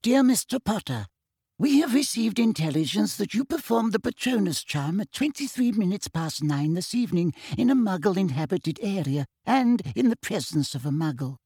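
A stiff, formal bureaucrat reading out an official letter, as a man puts him on. The voice is high, gravelly and husky, recorded dry and close. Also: darkish tone, dry recording, heavily acted. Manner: formal, clipped.

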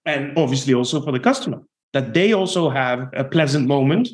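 A man with a Dutch accent speaks as a podcast guest, his English carrying the sound of a non-native speaker. He answers reflectively in long, winding explanations. His voice sits at an ordinary middle pitch. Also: expressive, a little fast. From Netherlands. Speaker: guest, sales leader. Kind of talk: podcast interview.

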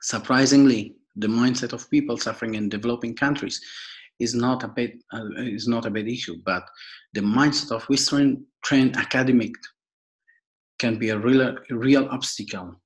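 A young man from Afghanistan with an Afghan accent, giving a prepared podcast introduction in a formal, halting way, often repeating and rephrasing. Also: medium pitch, steady tone, low-quality recording. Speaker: podcast host and foundation worker.

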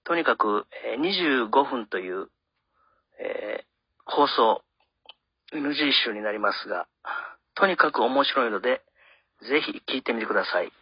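A man's voice: mid-range voice, lively intonation, moderate pace, long pauses, slightly rough. A man hosting and narrating on a radio program, presenting in a scripted style. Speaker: radio host and drama narrator. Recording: dull lo-fi radio sound, faint music.

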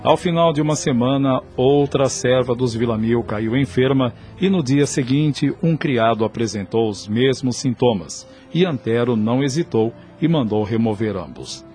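Guy narrating a radio soap, scripted dramatic read, mid pitch, music in the back. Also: animated, normal speed, hardly any pauses, darkish tone. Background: background music.

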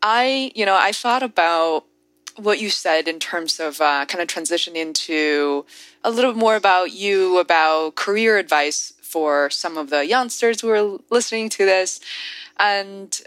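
A woman's voice: lowish voice, lively intonation, unhurried, a bit bright, no room sound. A woman hosting a podcast who asks her guest questions hesitantly, with many fillers. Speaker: podcast host. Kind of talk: podcast interview.

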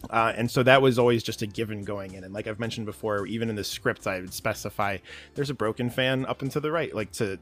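A man's voice: lowish voice, fast talker, a bit bright, faint music behind. A man, an audio drama writer, giving detailed, earnest explanations as a podcast guest. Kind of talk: explanatory interview talk.

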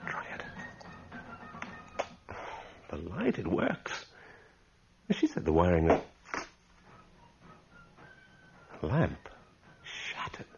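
A man's voice: deep voice, wildly swooping pitch, takes it slowly, gravelly, pauses often, over music, exaggerated voice. An actor playing a cocky but increasingly rattled man muttering to himself in a radio horror drama. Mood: puzzled, irritated. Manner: muttering and exclaiming to himself, sardonic bravado. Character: cocky, increasingly rattled man spending a night in haunted room. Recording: muffled, slightly noisy old radio drama recording.

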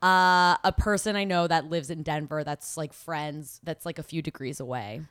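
A woman's voice: low-pitched, steady tone, normal speed, a bit bright, smooth, dry recording. A woman co-hosting a podcast in casual chat, losing her voice from a cold, talking chattily and laughing often. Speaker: podcast co-host.

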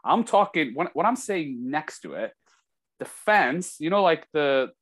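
A man's voice: a little high, a little slow. A man from Kazakhstan chatting casually as an interview guest, telling anecdotes and acting out bits of dialogue as he goes. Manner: animated retelling with acted-out dialogue. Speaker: interview guest, former hockey player.

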